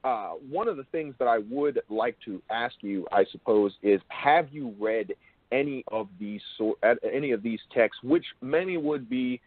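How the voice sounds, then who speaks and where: A man hosting an interview, asking long, wordy, bookish questions in a halting way. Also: mid-range voice, no echo. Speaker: interviewer and show host. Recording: thin phone-like call audio.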